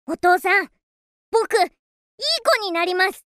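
A woman voicing a naive, mischievous wooden puppet boy in a radio drama, with a bright childlike voice. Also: very high voice, steady tone, unhurried, dry recording, studio quality. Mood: earnest.

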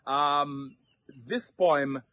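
A Jamaican man in his thirties with a bright, forward voice, recorded dry and close. He sounds upbeat and pauses on "um" between thoughts as he introduces himself outdoors among greenery. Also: on the high side, no echo. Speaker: dance instructor and cultural ambassador. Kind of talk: self-introduction to camera.

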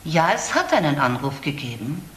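An actress playing a doctor's frank, earnest receptionist in a German crime radio play, recounting things carefully and emphatically. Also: female voice, sits low, big pitch swings, moderate pace, fairly bright tone. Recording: roomy audio drama, faint noise.

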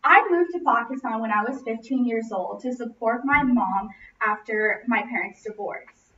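A young American woman delivers a prepared personal speech in a fairly high voice. She projects expressively across a classroom, picked up from a distance by a camera microphone, so the sound is roomy and echoing. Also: normal speed, sharp tone. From Los Angeles, United States. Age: young adult. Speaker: student speaker. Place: classroom, indoors. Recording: roomy, distant, muffled, noisy camera audio.

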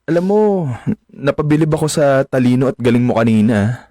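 A male actor plays a young Filipino man in a radio drama, earnest and a little shy. His voice sits at an ordinary male pitch. He sounds sincere and plainly smitten. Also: a little fast, mellow, dry recording, studio quality, natural voice. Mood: friendly, casual. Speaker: actor and first-person narrator.

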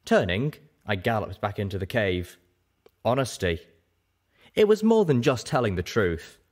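A man's voice: lowish voice, big pitch swings, measured pace. A man narrating a scripted story as a dramatic audiobook reader.

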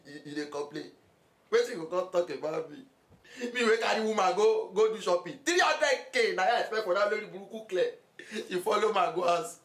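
Dramatic broke Nigerian friend, high-pitched, pleading, repetitive excuses, echoey room, screen drama. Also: male voice, a bit bright. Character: broke, excuse-making young male friend, comically dramatic. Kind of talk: screen drama dialogue in Pidgin English.

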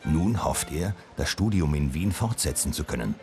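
A man reading a scripted news voice-over in a calm, even-paced delivery. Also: very deep voice, moderate pace, over music. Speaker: reporter narrating.

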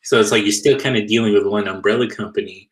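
Low-voiced co-host in an echoey room. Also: male voice, fast. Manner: relaxed, loose with likes and you-knows. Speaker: co-host, former comics retailer. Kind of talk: casual video call chat. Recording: echoey room over a video call.

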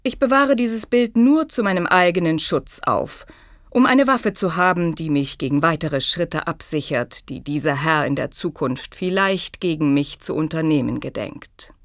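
A woman's voice: sits low, expressive, moderate pace, no echo, studio quality. An actress playing a clever, self-possessed young woman in a German audio drama, poised, formal and faintly teasing. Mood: resolute, gracious.

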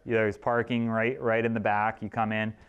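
A man's voice: fairly low pitch, little pitch movement, moderate pace, lo-fi sound. A man in his thirties leading a chiropractic workshop in a small clinic room, talking loosely to a seated audience with many fillers and restarts. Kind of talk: informal presentation with audience questions. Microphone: room camera at a distance.

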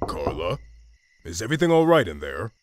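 An extremely low voice from a woman actor for a mild, folksy middle-aged papa in a comic dub. Faint noise and crickets sit behind the voice. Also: big pitch swings, a little fast. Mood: mildly concerned.

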